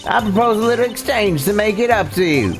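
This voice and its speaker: A woman voicing a brash, folksy, scheming Southern photographer with a Southern US accent and a rambling, halting delivery in a voiced visual novel playthrough. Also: mid-range voice, lively intonation, lightly acted. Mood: wheedling. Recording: faint game music behind. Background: video game music.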